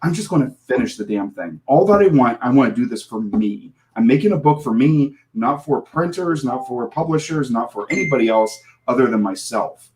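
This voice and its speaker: A man's voice: mid-range voice, animated, a little fast, a little mellow, low-quality recording. A man in his forties, a comic creator guest on a podcast, telling a rambling, self-deprecating story and laughing often. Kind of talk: casual podcast interview.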